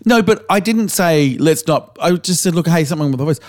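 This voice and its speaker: Slightly hoarse Australian man. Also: fairly high pitch, expressive, a little fast, dry recording, studio quality. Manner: frank, storytelling, casual swearing. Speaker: podcast co-host. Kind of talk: casual podcast chat.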